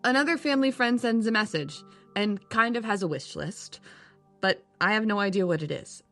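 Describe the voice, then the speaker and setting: A woman's voice: medium pitch, moderate pace, a bit bright, soft background music, natural voice. A woman playing an earnest, flustered young circus adventurer in an audio drama, self-deprecating and a little hesitant. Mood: warm, awkward. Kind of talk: audio drama character acting and narration.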